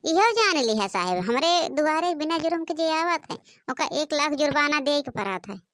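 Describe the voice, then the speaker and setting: A woman voicing a sharp-tongued, scolding village character in a comic cartoon, with an Awadhi accent. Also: sits high, big pitch swings, moderate pace, no echo, clean studio sound. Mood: defiant. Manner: scolding, argumentative. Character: sharp-tongued, defiant village household members, old woman and boy.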